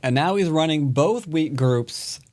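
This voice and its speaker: Us guy streaming a go game, joking and trash talking, bouncy voice, kinda slow. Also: fairly high pitch, animated, darkish tone. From United States. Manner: playful trash talk. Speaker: streamer and Go teacher. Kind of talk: live game commentary.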